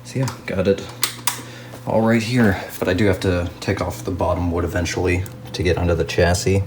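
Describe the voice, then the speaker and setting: A man narrating a casual hands-on restoration video as a hobbyist, musing aloud to himself in an unhurried way. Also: low-pitched, steady tone, background noise.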